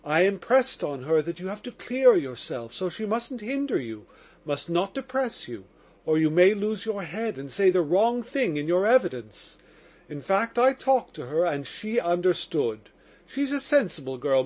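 A kindly, excitable old police captain, read by a man, coaxing a prisoner like a father would. The storybook voice sits fairly high and moves with lively inflection. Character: good-natured, fatherly older police captain. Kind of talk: audiobook character reading.

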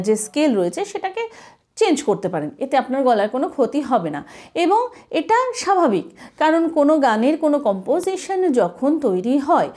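Casting: female music teacher, thirties, Indian Bengali accent. High, mellow voice, explains step by step. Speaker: music teacher, channel host. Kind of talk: explanatory vocal lesson to camera.

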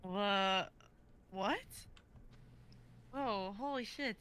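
A woman playing a dry, dismissive stoned character in an amateur script reading, blunt and deadpan. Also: mid-range voice, drawn-out words, clean tone. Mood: dry teasing. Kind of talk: amateur dramatic script reading over video call.

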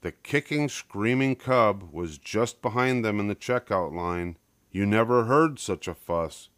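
Low-voiced older man reading a storybook. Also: animated, a little slow, a bit bright, no room sound, studio quality. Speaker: storybook reader. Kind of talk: scripted children's storybook narration.

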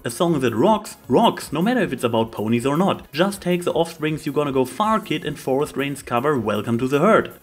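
A man's voice: on the high side, lively intonation, brisk, hardly any pauses. A man reading scripted opinion commentary as a video host, making his points with emphatic lists. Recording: music behind the voice. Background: background music.

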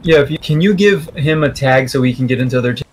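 A man's voice: medium pitch, quick pace, a little hiss or hum, rough recording. A man chatting casually as a game streamer, swearing freely. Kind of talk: casual chat.

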